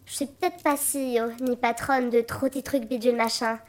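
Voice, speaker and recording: An actress playing Santa's sarcastic, confrontational activist daughter in a comedic audio drama. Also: female voice, fairly high pitch, steady tone, normal speed, lightly acted. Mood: sarcastic, defiant. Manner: biting, confrontational. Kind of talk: comedic audio fiction acting.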